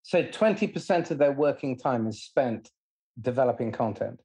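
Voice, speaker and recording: Husky-voiced male podcast host. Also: mid-range voice, animated, moderate pace, dark tone. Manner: curious, laughs easily. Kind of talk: interview questions.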